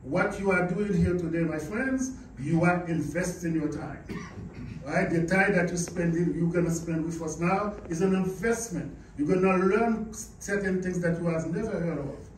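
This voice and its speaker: A man's voice: high-pitched, moderate pace, slight background noise. Man from Haiti giving a business seminar. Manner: emphatic, asks the audience questions. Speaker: seminar speaker. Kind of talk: motivational business presentation. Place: carpeted indoor meeting room. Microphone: far camera, no visible mic. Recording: echoey room, camera far off.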